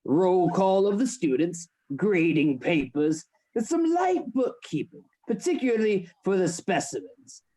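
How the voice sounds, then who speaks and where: An actor playing a stern, secretive anatomy lecturer in a radio play, formal and instructing. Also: male voice, high voice, very animated, a little slow, few pauses, warm tone, exaggerated voice. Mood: businesslike.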